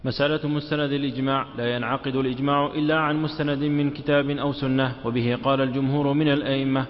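Flat, fast-reading male student. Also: mid-range voice. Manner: reads rapidly in a monotone, stumbling over words. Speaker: student reading a text aloud. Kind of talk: reading a legal text aloud. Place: mosque study circle. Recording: dull lo-fi recording in a reverberant hall.

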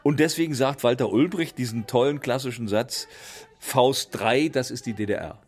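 A man's voice: mid-range voice, moderate pace, a little mellow, soft background music. Older man explaining with theatrical flair. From East Germany. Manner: theatrical, quotes verses with emphasis. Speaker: interviewee. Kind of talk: animated casual explaining in conversation.

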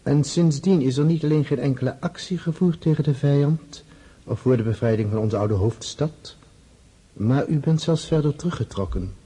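An actor playing a stern, reproachful Russian tsar, reading out a letter in a measured, formal manner in a radio drama. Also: male voice, fairly high pitch, dark tone, breathy edge.

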